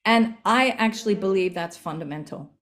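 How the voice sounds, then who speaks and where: A woman's voice: medium pitch, normal speed, a bit bright. A woman speaking earnestly as a panel speaker in a group discussion session.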